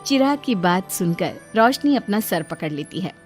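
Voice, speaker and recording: Lively woman story narrator. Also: medium pitch, moderate pace, faint music behind.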